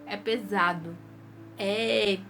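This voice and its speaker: A woman's voice: medium pitch, steady tone, very slow, music in the background. A young woman with a Brazilian Portuguese accent gives an earnest, casual devotional talk to her viewers, her nose stuffy from rhinitis. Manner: earnest, repetitive, conversational.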